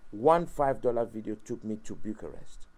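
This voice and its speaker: A man's voice: lowish voice, moderate pace, mellow. A middle-aged man from Cameroon whose first language is French, giving preachy, motivational answers in an interview, often repeating words and laughing at his own jokes. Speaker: interview guest, YouTuber and preacher.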